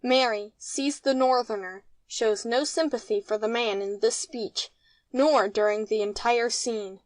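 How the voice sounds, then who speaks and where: Casting: female narrator for stage directions, higher voice, dry close sound, scripted delivery. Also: fairly even intonation, a little slow, no room sound, poor audio.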